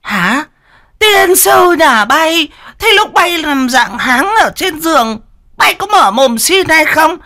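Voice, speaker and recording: A narrator with a Northern Vietnamese accent voicing a coarse third wife with a shrill, mocking voice. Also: big pitch swings, slow pace, sharp tone, heavily acted. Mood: mocking scorn.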